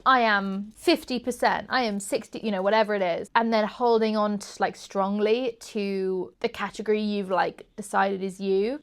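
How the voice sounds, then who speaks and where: A woman vlogger talking in a casual, reflective way, thinking aloud and questioning herself as she goes. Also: medium pitch. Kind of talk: casual, reflective chat to camera.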